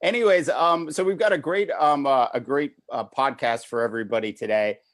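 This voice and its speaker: A man moderating a podcast in a halting, filler-heavy way, speaking off the cuff. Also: medium pitch, no room sound, clean studio sound. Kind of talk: unscripted podcast introduction.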